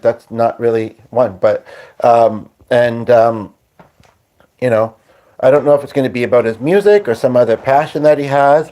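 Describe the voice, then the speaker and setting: A man's voice: medium pitch, mellow. Rambling man on a dull lo-fi stream. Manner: meandering, halting, many fillers and restarts. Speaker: podcast host. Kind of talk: rambling live-stream chat.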